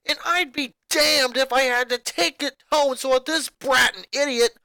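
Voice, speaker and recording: A woman voicing an angry, resentful young boy who rants and shouts at his family. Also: sits high, unhurried, no echo, voice pushed up, heavily acted. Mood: furious. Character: furious boy, eleven-year-old, bitter and resentful.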